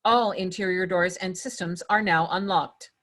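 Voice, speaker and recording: A woman's voice: fairly low pitch, fairly even intonation, normal speed, a bit bright. A woman voicing an impersonal, procedural computer security system in a screenplay table read. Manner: formal, procedural.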